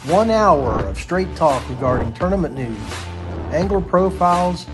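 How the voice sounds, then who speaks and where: A man's voice: fairly high pitch, expressive, measured pace. A man delivering a scripted podcast introduction as an announcer. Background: music.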